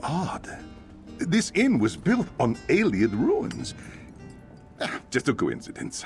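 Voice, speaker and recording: A talkative innkeeper man from a fantasy game. He muses aloud, half grumbling and half curious, in a gravelly voice that swings widely up and down. Faint music plays underneath. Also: fairly high pitch, slow, heavily acted. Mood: curious, musing. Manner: expressive, musing aloud. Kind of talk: video game character acting.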